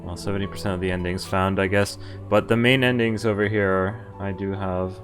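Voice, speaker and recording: A man streaming a game, reading its text aloud and commenting informally, in a halting way as he thinks out loud. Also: low voice, steady tone. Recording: music under the voice. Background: game music.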